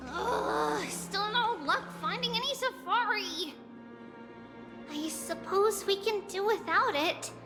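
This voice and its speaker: An extremely high, slow-spoken little girl, cute and earnest with flashes of excitement. This is anime-style game dialogue, set in long reverb with loud music behind. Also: exaggerated voice. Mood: pensive, uneasy. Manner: cute childish delivery, speaks of themselves by name.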